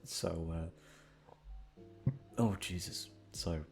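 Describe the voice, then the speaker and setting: Low-pitched young man rambling over music. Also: very slow, vocal fry, a little mellow. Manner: informal, sweary, rambling. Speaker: audio channel host. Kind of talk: casual rambling chat to listeners. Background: guitar music.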